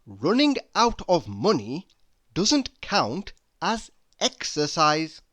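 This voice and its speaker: Very slow, animated man reading quotes. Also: a little high. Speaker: reader. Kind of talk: reading humorous quotes aloud.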